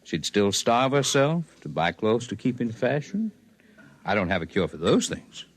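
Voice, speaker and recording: An actor in a 1950s radio drama playing a serene, centuries-old alien healer posing as human, speaking formally and precisely with gentle condescension. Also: male voice, mid-range voice, big pitch swings, moderate pace. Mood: detached, regretful. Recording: vintage 1950s radio recording.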